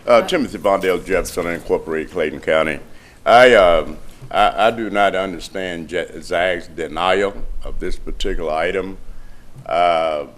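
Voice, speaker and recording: A man with a very deep voice speaks at a podium microphone in a county meeting room. He states his opinion directly and firmly. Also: normal speed. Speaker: public commenter. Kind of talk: public comment at a county meeting.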